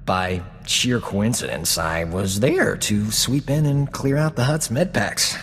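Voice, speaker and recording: An actor playing a cocky, flirtatious, boastful rogue doctor in a sci-fi video game, glib and smooth-talking. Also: male voice, lowish voice, lively intonation, takes it slowly, big-room sound, heavily acted. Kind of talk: video game dialogue acting.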